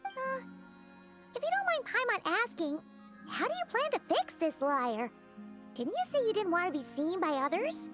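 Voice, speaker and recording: Very high childlike voice, tiny excitable girl sidekick, chirpy, swooping intonation, video game acting, music underneath. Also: normal speed, full character voice. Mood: curious, chirpy. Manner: refers to self in third person. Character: small chirpy excitable fairy-like girl companion.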